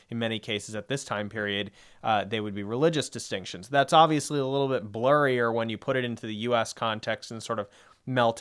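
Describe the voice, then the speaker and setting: A man's voice: medium pitch, a bit bright. A young man co-hosting a discussion podcast, explaining at length in a rambling way with lots of fillers and asides. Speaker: podcast co-host.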